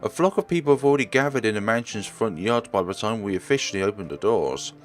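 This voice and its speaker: A man with a fairly low voice reads a story game aloud for a let's play. His delivery is expressive and swings widely in pitch, with the odd stumble and correction. Faint game music plays behind him. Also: fast talker. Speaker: let's player reading a visual novel aloud. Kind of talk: narrated reading with asides.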